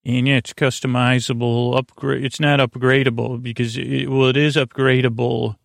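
A man with a mid-pitched, creaky voice talks as the host of a sleep podcast. He is lulling and halting, circling through tangents and correcting himself as he goes. The sound is dry, with no room around it. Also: flat delivery, no room sound. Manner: rambling, halting, frequent tangents and self-corrections; soothing, lulling delivery. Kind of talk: meandering sleep podcast monologue.

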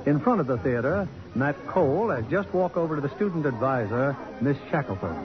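A man's voice: on the high side, expressive, brisk. An American man announcing a scripted 1950s radio drama in a formal, theatrical manner. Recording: dull old radio broadcast recording. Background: music.

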